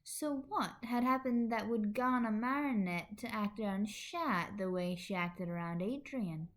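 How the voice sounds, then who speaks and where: A woman's voice: medium pitch, measured pace. A woman narrating fan fiction expressively as a podfic reader.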